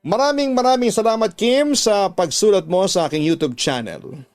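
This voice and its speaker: A man's voice: high-pitched, normal speed. A man with a Filipino accent reading a listener's letter aloud as a dramatic radio storyteller and host. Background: background music.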